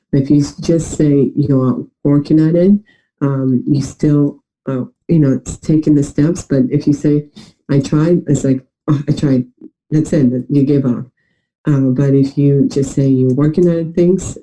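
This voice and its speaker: A woman from Russia with a New York-sounding American accent, a bit nasal from a recent cold, speaking earnestly with many pauses and fillers in a video-call interview. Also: extremely low pitch, mellow, a little hiss or hum, low-quality recording. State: slightly nasal from recent illness. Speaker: interview guest, adoptee.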